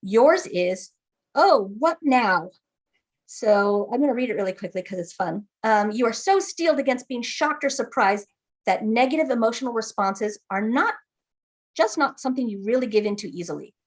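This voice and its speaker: A woman's voice: a little high, expressive, moderate pace. A woman acting as game master, patiently walking a player through character creation and often checking that they follow. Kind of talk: explaining game rules.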